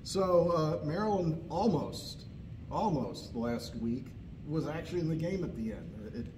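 A man's voice: fairly high pitch, a little slow. Middle-aged guy hosting casual sports talk, far from the mic, roomy echo and noise. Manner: relaxed, agreeable, often says right. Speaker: host. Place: large indoor hall. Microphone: far camera.

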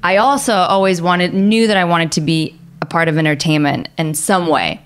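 A woman's voice: on the low side, normal speed, clean tone. A woman in her thirties from Bulgaria, a filmmaker guest chatting casually in an interview and laughing easily.

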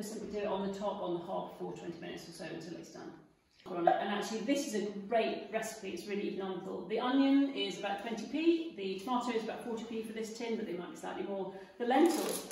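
Female cookery demonstrator, British, middle-aged, practical and chatty; very reverberant kitchen, recorded at a distance. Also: medium pitch. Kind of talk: informal cooking demonstration, explaining steps. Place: medium-sized domestic-style kitchen, indoors. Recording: echoey kitchen, distant camera mic, background noise.

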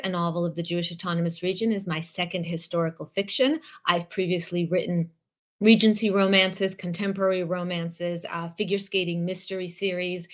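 A woman from the former Soviet Union whose first language is Russian, reading an excerpt from her historical novel over a video call from a small room at home, at a measured pace with occasional self-corrections. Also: fairly low pitch. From Odessa, then USSR. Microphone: laptop webcam mic on video call.

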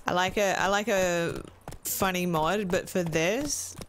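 An Australian woman chatting excitedly and swearing casually as she streams a game. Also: on the low side, takes it slowly, a little hiss or hum. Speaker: gaming streamer. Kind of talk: casual let's-play chat.

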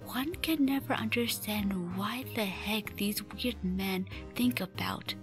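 A woman's voice: medium pitch, slow, over music, close to an everyday voice. A woman reading scripted story narration for a fan radio drama.

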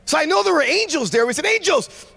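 A man in his forties preaching a sermon in a large church, speaking into a handheld mic with fervent, shouting delivery. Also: extremely high pitch, sing-song, fast talker, gravelly. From United States. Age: 40s. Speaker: pastor. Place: large church stage with congregation.

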